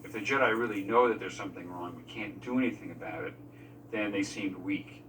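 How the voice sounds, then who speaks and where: A man giving measured, explanatory commentary as a filmmaker in a behind-the-scenes interview. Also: lowish voice, a bit bright, distant mic, slight background noise, rough recording. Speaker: film crew member discussing editing decisions.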